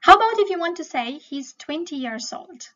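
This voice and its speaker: A woman's voice: extremely high pitch, lively intonation, normal speed, a bit bright, highs cut off. A woman teaching a language lesson, explaining didactically and often checking in with the learner.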